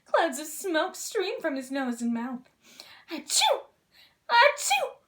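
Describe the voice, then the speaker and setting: A high-pitched woman narrates a children's story in the persona of a gentle princess. Her delivery is theatrical and expressive, made for a read-aloud. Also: unhurried.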